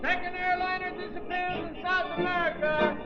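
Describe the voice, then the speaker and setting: Very high-pitched hawking newsboy. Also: male voice, little pitch movement, moderate pace. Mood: clamorous. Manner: loud sing-song hawking. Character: shouting street newsboy hawking extras. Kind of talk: 1930s radio acting. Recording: muffled old radio recording, loud organ music.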